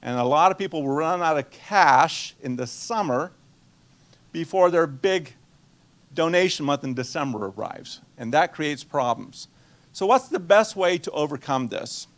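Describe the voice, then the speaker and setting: A man's voice: a little high, animated, measured pace, fairly bright tone. A man in his sixties, from Guatemala and a native Spanish speaker, giving a conversational finance seminar, quizzing his audience and slipping in dry jokes. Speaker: nonprofit finance presenter.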